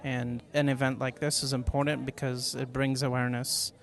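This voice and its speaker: A man attending a vigil, giving an earnest, deliberate interview statement. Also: medium pitch, little pitch movement, vocal fry.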